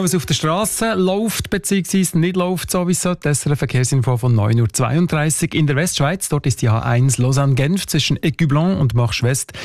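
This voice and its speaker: A man's voice: mid-range voice, a little mellow, dry recording, clean studio sound. A man with a Swiss German accent presenting on the radio, moving between programme introductions and service announcements. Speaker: radio host. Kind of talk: radio presenting and traffic bulletin.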